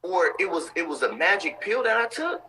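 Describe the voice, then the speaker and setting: A man's voice: high voice, big pitch swings, fairly quick, bright, forceful tone. Intense preachy man in his thirties. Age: 30s. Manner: intense, preachy, repeats points for emphasis. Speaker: co-host of a live stream. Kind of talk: motivational live-stream talk.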